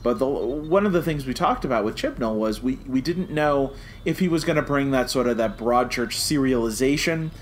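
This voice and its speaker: A man's voice: mid-range voice, lively intonation, moderate pace. Male podcast co-host, casual and jokey, mid-range voice, faint noise behind him. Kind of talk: casual podcast review chat.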